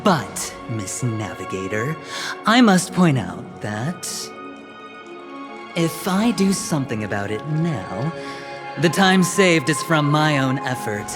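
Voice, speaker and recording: A man voicing a smooth, scheming young businessman and gambler in a video game, talking in a sly, playful, teasing way. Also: medium pitch, sing-song, takes it slowly. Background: game music.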